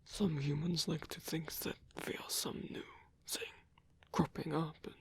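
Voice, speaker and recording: A man voicing a lonely, gentle old vampire in an ASMR roleplay, with a husky, breathy whisper and halting, formal, musing speech. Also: a little high, flat delivery. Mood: wistful. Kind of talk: ASMR roleplay audio drama.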